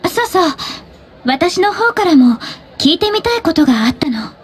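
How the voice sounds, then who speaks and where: A woman playing a poised, teasing teenage high school girl in a Japanese anime game, speaking in a composed, politely playful way. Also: big pitch swings, normal speed, background noise.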